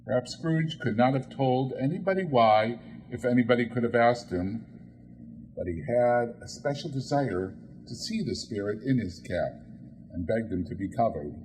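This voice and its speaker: A man narrating a classic story as an audiobook reader, in a dramatic, measured and expressive style. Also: medium pitch, slight background noise, rough recording.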